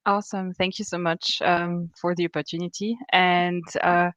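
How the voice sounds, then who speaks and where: Woman in her thirties from Austria, thoughtful guest on a video call, smooth and resonant voice, dry close sound. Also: fairly low pitch, little pitch movement, moderate pace, a bit bright, dry recording. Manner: thoughtful, many fillers and restarts. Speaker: student guest. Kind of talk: casual interview answers.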